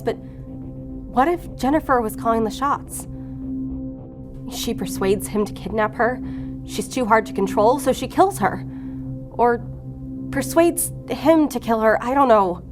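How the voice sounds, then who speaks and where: A woman's voice: fairly high pitch, a little slow, pauses often, over music, lightly acted. A woman acting in audio fiction as a driven, blunt investigator who thinks aloud intensely. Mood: brooding.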